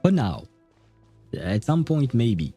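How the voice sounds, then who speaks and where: A man's voice: mid-range voice, very animated, slow pace, dark tone, slightly rough, faint music behind. A man chatting casually and a bit hesitantly with viewers as he hosts a gaming livestream, often rambling. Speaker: livestream host. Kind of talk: casual chat while streaming a game.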